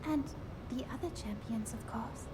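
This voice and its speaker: A young woman playing an earnest, gentle princess in a video game, speaking in a solemn, tender and measured way. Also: a little high, heavy background noise, natural voice. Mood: hopeful, determined.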